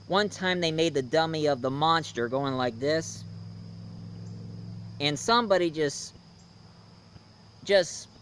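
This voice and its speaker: A man's voice: on the high side, a bit bright, slight background noise. A man giving a casual, rambling film review, speaking emphatically and opinionatedly straight to his viewers. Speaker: reviewer, host.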